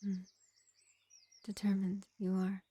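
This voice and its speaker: A woman playing a gentle, ancient pacifist vampire in an intimate fantasy roleplay, speaking in an unhurried, soothing half-whisper. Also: medium pitch, completely flat delivery, breathy edge, no echo. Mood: calm, gentle. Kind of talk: slow intimate ASMR-style fantasy roleplay.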